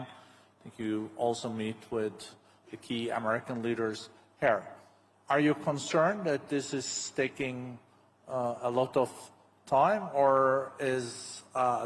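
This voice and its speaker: A man moderates an onstage interview in a conference hall, his mid-pitched voice trailing into a long reverb. He asks his questions casually, with plenty of fillers.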